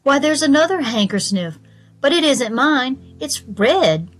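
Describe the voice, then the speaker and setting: A woman's voice: high voice, big pitch swings, unhurried, soft background music, pitched above the performer's own voice, exaggerated voice. A woman voicing a curious, forthright little girl in a children's storybook, with an inquisitive, direct manner.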